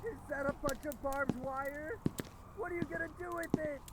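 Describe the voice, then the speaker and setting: A woman's voice: high-pitched, steady tone, moderate pace, very noisy, close to an everyday voice. A woman playing a frightened, panicked victim in an audio drama.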